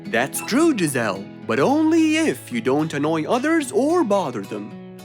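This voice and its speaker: Wise cartoon fox storyteller, lowish voice, patient, instructive, sing-song intonation, music behind. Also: female voice, huge pitch swings, measured pace, a little mellow, exaggerated voice. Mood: patient, explaining.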